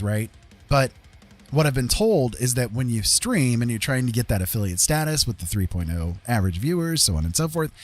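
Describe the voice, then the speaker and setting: A man livestreaming in a casual, rambling way, with a jokey, self-deprecating, colloquial manner. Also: medium pitch. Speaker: live streamer and digital artist.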